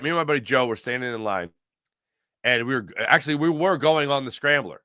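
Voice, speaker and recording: A man's voice: mid-range voice, bright, forceful tone, dry recording, studio quality. An American man hosting a sports talk livestream, chatting casually and emphatically, often repeating phrases to make his point. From Pennsylvania, United States. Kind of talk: casual sports commentary and chat with viewers.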